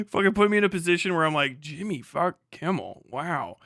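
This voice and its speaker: A man's voice: a little high, vocal fry, a bit bright, no room sound, studio quality. A man hosting a live stream in a casual, rambling, slangy and profane way, with some showy announcer-like address to viewers. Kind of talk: casual rambling livestream commentary.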